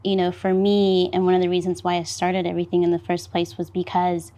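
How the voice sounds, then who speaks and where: A woman's voice: lowish voice, monotone, a little fast, warm tone. A woman born in El Salvador and raised in North Carolina, talking on a panel in a chatty, casual way. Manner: chatty, lots of "like" and "you know". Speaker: panelist, business founder.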